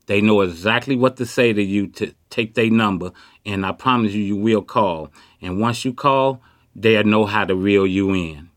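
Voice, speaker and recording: A man's voice: on the low side, moderate pace, dry recording, studio quality. A man in his fifties from Chicago, talking boastfully in street slang while interviewed on a city street. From Chicago, USA. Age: 50s. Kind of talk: candid street conversation. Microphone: handheld mic.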